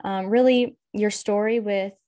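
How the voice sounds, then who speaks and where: Friendly woman podcast host, mid-pitched. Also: steady tone, measured pace, dark tone. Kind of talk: casual podcast interview.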